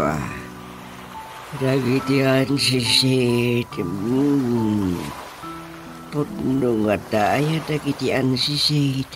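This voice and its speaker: Casting: fussy old husband, radio comedy, unhurried muttering, music underneath. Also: male voice, medium pitch. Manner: muttering, full of exclamations and hums. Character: grumbling old husband talking to himself. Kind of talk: comic radio drama acting in Ilocano.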